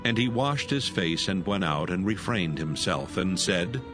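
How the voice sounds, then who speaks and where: A man's voice: deep voice, lively intonation, measured pace, over music. A man narrating solemn scripture as part of a dramatised audio Bible.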